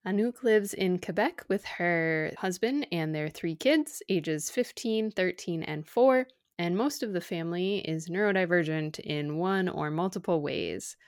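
A woman who co-hosts a podcast, reading an introduction and asking her guest measured questions. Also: lowish voice. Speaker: podcast co-host.

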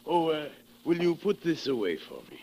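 Genial boss, very animated intonation. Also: male voice, a little high, moderate pace, a little hiss or hum, rough recording. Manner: kindly, casual. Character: genial, unsuspecting office boss. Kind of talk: 1940s radio acting.

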